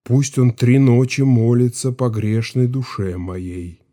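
An actor playing a grieving, stern old Cossack chief in an audio drama, speaking with solemn, mournful authority. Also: male voice, mid-range voice, slow, warm tone, close to an everyday voice. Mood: hushed grief. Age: older.

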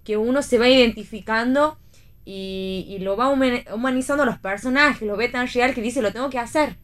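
A woman's voice: fairly high pitch, brisk, a bit bright. A woman with an Argentine accent in a casual radio discussion about theater, thinking aloud, hedging and rephrasing as she goes. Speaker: theater panelist.

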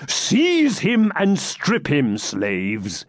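A man voicing a cruel, sarcastic baron who speaks sternly and slowly. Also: fairly high pitch, wildly swooping pitch, no room sound, clean studio sound, pitched above the performer's own voice, full character voice. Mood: menacing. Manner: stern, slow, menacing.

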